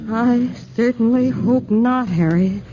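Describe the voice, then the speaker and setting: A woman's voice: a little high, slow pace. An actress playing a plucky, jittery young American woman in a 1940s radio drama, slangy and given to breathless worrying. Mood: worried, urgent. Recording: muffled, noisy 1940s radio recording.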